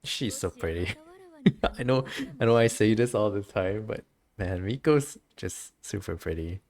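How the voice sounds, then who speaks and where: A woman casually streaming a game, reading dialogue aloud and reacting in an expressive, giggly way. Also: very deep voice, unhurried.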